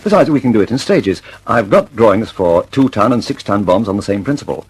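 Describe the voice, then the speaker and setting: Determined British engineer, very animated. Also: male voice, on the low side, fairly quick, slightly rough, low-quality recording. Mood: determined, insistent. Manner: urgent, insistent, stammering slightly when flustered. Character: harried, determined British male aircraft engineer and inventor. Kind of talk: mid-century radio drama acting.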